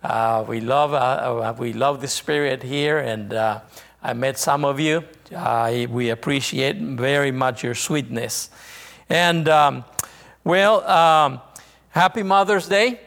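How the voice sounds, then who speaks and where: A man from northern Mexico with a Mexican Spanish accent, a visiting missionary preaching a sermon, halting often with fillers and restarts. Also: medium pitch, vocal fry, fairly bright tone, big-room sound.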